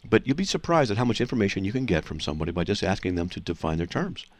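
A man's voice: fairly low pitch, sing-song, rapid-fire. A man hosting a call-in radio show, explaining scripture to callers in an assertive, teaching manner. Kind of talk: call-in radio Bible answers.

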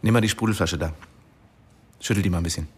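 Low-voiced, firm diving instructor. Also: male voice, fast, breathy edge. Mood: instructing. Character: diving instructor, man turning forty, strict and matter-of-fact. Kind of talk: naturalistic radio drama dialogue.

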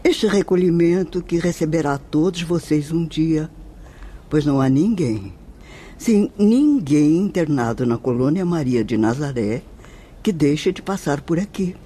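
Slow, mellow, kindly old-world nun spirit. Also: female voice, low-pitched, expressive. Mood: warm welcome. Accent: Brazilian. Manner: slow, gentle, maternal, deliberate. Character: kindly, serene spirit nun of indefinable age, gently devout. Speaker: actor in a radio drama. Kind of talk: Brazilian radio soap acting.

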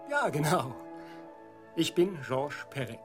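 An actor playing a timid, over-polite playwright in a comic radio play, hesitant and fussily insistent. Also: male voice, a little high, sing-song, takes it slowly. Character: timid, fussy male playwright, indignant when crossed. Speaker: author. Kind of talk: comic radio drama acting. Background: music.

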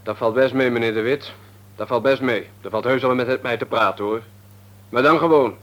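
A cheeky young layabout acted by a man in a Dutch radio drama, speaking at an average male pitch with a Netherlands accent. He is guarded and cynical, answering in an insolent, offhand way. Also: normal speed, fairly bright tone, close to an everyday voice. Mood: mocking. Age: young adult. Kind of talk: Dutch radio play acting.

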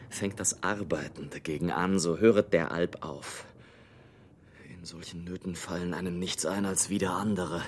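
Low-voiced, brooding prisoner. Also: male voice. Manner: literary, intense, often dropping to a breathy whisper. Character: brooding, sardonic, restless imprisoned male adventurer telling his plight. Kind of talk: dramatic monologue in a German radio play. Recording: clear background noise and ambience.

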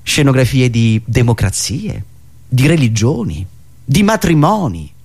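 A man delivering a theatrical political monologue in a dramatic, emphatic manner, with deliberate pauses. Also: medium pitch, very animated, darkish tone, husky. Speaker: satirical stage performer and radio host.

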